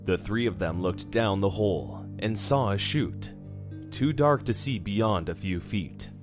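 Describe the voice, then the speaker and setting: A man's voice: lowish voice, animated, a little slow. A man narrating a horror audio drama from a script. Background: music.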